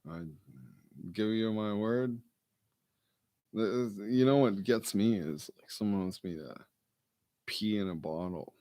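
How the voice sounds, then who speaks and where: A man with a gravelly baritone, rambling through a late-night solo broadcast with mumbled, self-deprecating asides. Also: slow, long pauses, mellow, no room sound.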